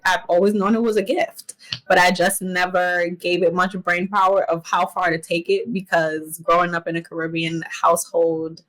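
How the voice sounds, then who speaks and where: A woman in her late twenties speaks as a guest chef in a casual podcast chat. Her voice sits a little low, and she tells her story in an upbeat, thoughtful way. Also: a bit bright, poor audio. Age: 20s.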